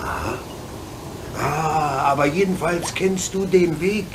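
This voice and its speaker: A man playing an easygoing, reassuring adult locomotive driver in a children's radio play. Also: on the high side. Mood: curious, helpful. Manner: easygoing, avuncular, hesitant pauses. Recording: noisy background with hiss.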